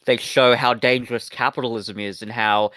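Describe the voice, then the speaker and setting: A man co-hosting a review podcast in casual discussion, talking haltingly with a wry, sweary manner. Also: mid-range voice, fairly even intonation, sharp tone, dry recording. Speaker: podcast co-host reviewing audio dramas.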